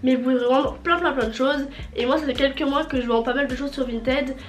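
A woman's voice: sits high, fairly even intonation, a little fast. A young woman with a cold and a nasal voice, chatting casually in a fashion vlog and repeating words for emphasis, filmed by a fixed camera in her bedroom. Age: young adult. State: congested from a cold. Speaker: vlogger. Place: bedroom with a closet, indoors. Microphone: fixed camera at table distance. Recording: roomy indoor sound with faint music.

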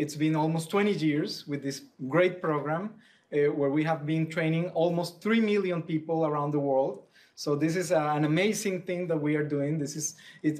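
A man in his forties with a Costa Rican Spanish accent, explaining semi-formally and hesitantly on a video call. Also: fairly high pitch. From Costa Rica. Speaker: technical manager, panel guest. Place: small plain office room, indoors, on a video call.

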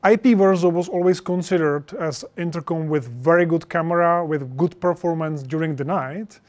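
Higher-pitched man giving a product presentation. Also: normal speed, darkish tone. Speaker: product presenter for an intercom maker. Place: indoor studio stage with projection screen. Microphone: lapel mic.

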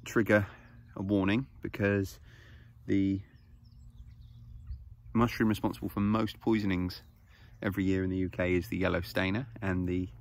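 Gravelly man vlogging on a hillside. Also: deep voice. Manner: casual, explanatory, easygoing with fillers. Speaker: foraging channel host. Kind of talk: outdoor how-to vlog. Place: grassy hillside outdoors. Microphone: phone camera held close.

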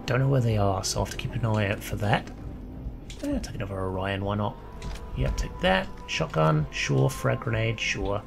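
A man's voice: fairly low pitch, expressive, normal speed, gravelly. A man, chatting casually while playing a game and losing his voice. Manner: chatty, reads notes aloud. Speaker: gamer commentator. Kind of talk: casual let's play commentary. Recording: gameplay video with game music.

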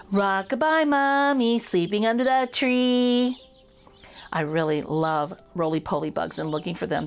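Woman, children's story reader. Higher-pitched, unhurried delivery, playful and expressive, talking directly to kids. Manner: addresses young listeners directly, playful. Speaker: picture-book reader for children. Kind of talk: expressive children's read-aloud.

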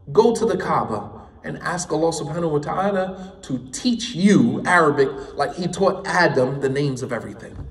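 A man's voice: high voice, far from the mic. Emphatic man teaching in an echoey hall. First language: English. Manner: emphatic, impassioned, repeats points for stress. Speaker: Islamic studies teacher. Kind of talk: classroom lecture.

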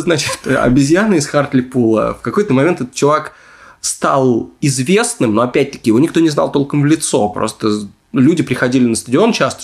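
A man hosting a podcast in a casual storytelling style, with wry, hedging asides. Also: mid-range voice. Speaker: podcast host.

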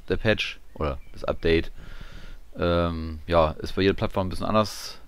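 A man giving casual, offhand gameplay commentary, half muttering to himself. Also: low voice. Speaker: gamer, let's player.

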